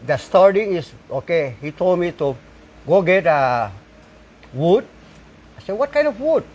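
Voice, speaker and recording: An older man, speaking in a casual interview outdoors at his home. His pitch sits a bit high for a man and swings widely. He talks haltingly, with many "uh"s and restarts. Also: sing-song, darkish tone, highs cut off. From Saipan, Northern Mariana Islands. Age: 60s. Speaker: bull cart maker and farmer.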